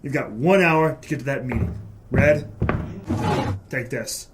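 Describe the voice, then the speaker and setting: An actor playing a gruff, cool-headed private eye with a terse, commanding manner in a noir drama. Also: male voice, medium pitch, measured pace, slight background noise, lightly acted. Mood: resolute, commanding. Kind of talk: hardboiled noir audio drama acting.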